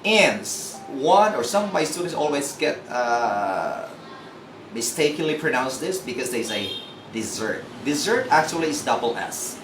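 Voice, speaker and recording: A man teaches English word pronunciation in an animated, jokey way, quizzing his listeners as he goes. His pitch swings widely. He sounds set back from the mic in an echoey room with steady background noise. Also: measured pace, far from the mic. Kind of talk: classroom-style lesson.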